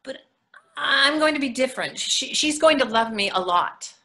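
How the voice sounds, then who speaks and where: An anxious, clingy mother-in-law played by a woman in a stage play reading. Her voice sits fairly high and has a sharp, bright edge. She stammers and pleads, desperate to be liked. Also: expressive, moderate pace, exaggerated voice. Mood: anxious, pleading. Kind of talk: readers theatre stage acting.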